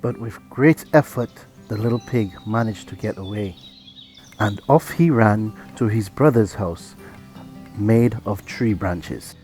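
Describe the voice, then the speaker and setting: A father reading a children's bedtime story aloud in a gentle, even storytelling manner. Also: fairly low pitch, unhurried, soft background music.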